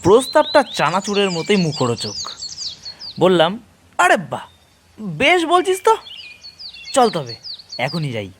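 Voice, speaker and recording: Slow, highly expressive male storyteller. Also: a little hiss or hum. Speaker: narrator of an audio horror story series. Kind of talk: dramatic first-person story narration in Bengali.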